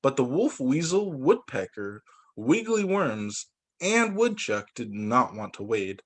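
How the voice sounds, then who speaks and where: A man working as a teacher, reading children's storybooks aloud in an expressive way. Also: medium pitch, slow. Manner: expressive, acts out dialogue for young children.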